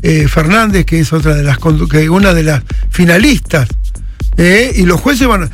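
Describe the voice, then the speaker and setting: Man, high-pitched, eager interview guest who rambles; radio sound with light music bed. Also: moderate pace. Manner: enthusiastic, rambling, hesitant fillers. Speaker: festival director, guest. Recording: radio broadcast with faint music.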